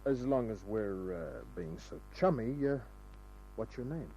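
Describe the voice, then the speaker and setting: An actor playing a wisecracking, world-weary private detective in an old radio crime drama, with dry, sardonic delivery. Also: male voice, lowish voice, lively intonation, unhurried, warm tone, a little hiss or hum. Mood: wry, guarded. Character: wisecracking hard-boiled male private detective. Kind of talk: 1940s-50s radio crime drama narration and dialogue. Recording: dull old radio recording with music.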